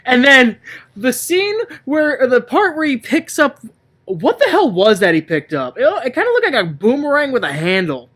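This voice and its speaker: A man's voice: very high-pitched, big pitch swings, normal speed, fairly bright tone. A man co-hosting a casual movie-review chat, talking in an exasperated, ranting, sweary way. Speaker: podcast co-host.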